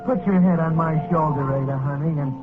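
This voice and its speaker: A man's voice: fairly high pitch, fairly quick, natural voice. An actor playing a slangy American tough-guy suitor, syrupy and consoling, in 1940s radio drama style. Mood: falsely consoling. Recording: muffled, tinny old radio recording with music. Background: music.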